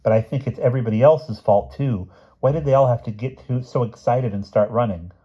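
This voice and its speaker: Man doing an earnest chatty storybook girl, raised pitch, bit husky, eager. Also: a little fast, highs cut off, natural voice. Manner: eager, artless questioning.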